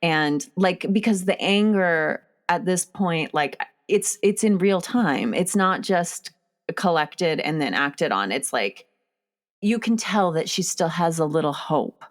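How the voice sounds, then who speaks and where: A woman's voice: fairly low pitch, lively intonation, slow pace. A woman chatting casually about a film as a co-discussant, emphatic and opinionated, with casual swearing. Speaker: podcast co-discussant.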